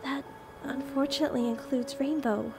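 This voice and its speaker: A woman's voice: high-pitched, fairly even intonation, moderate pace. A woman voicing a worried sister character who speaks in a somber, earnest way. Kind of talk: fan audio drama acting. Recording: music underneath.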